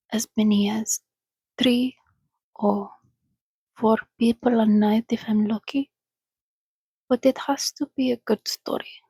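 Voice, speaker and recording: Woman softly reading as an eager, breathy young man. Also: fairly high pitch, no echo, heavily acted, low-quality recording. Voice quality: breathy, soft. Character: eager, nervous young male interviewer. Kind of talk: soft ASMR storybook reading.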